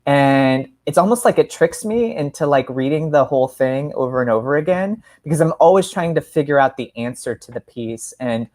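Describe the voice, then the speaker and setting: A woman hosting a casual literary discussion, speaking in a halting way and often repeating words. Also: very deep voice, animated, a little mellow. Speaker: podcast host.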